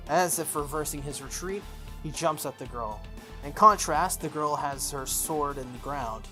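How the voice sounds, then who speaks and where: Visual novel playthrough host, reading aloud, enthusiastic asides, medium pitch, game music behind. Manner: enthusiastic, gushing asides between read narration. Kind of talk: reading game text aloud with excited commentary.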